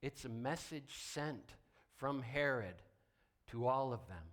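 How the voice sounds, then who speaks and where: Male pastor giving a sermon, medium pitch, emphatic n deliberate, big pauses and quiet drops. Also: lively intonation.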